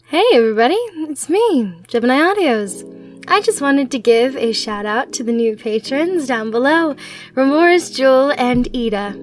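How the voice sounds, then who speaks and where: A woman speaking as a channel host, giving a shout-out to her listeners. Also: sits high, animated, moderate pace, soft background music.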